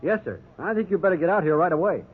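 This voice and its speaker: An actor playing a mild, easygoing police officer in a 1950s radio drama. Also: male voice, a little high, lively intonation, fast, music in the background, close to an everyday voice. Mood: polite. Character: mild, fair-minded junior male police officer. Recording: tinny phone-like 1950s radio recording.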